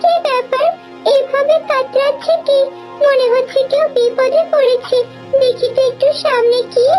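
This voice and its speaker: A woman's voice: extremely high pitch, steady tone, normal speed, darkish tone, soft background music. A woman voicing a mistreated, meek and kindly young stepdaughter in a fairy-tale cartoon, in a pleading manner. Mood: concerned. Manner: pleading and obedient.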